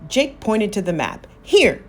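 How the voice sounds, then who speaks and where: A woman narrating a children's story aloud, expressive, with drawn-out emphasis on key words. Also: fairly low pitch. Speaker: storybook reader.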